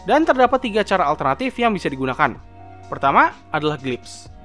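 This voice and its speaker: A man's voice: fairly high pitch, big pitch swings, fast talker, a bit bright. A young man, narrating a scripted explainer as a video host with informal, chatty asides. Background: background music.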